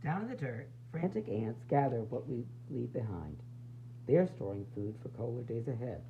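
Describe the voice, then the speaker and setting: A man reading a children's picture book aloud in an expressive, dramatic, sing-song way, with varied emphasis. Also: medium pitch, moderate pace. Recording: dull lo-fi sound, faint noise and hum.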